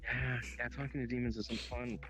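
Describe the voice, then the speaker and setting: A man's voice: medium pitch, level tone, moderate pace, music in the background. A man roleplaying casually over voice chat, asking questions and making mock threats.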